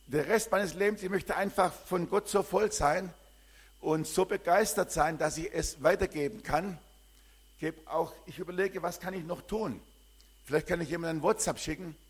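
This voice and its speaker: A man's voice: sits high, moderate pace, husky. An older man from southern Germany, a missionary, greeting a church congregation and giving a rambling personal testimony with frequent hesitations.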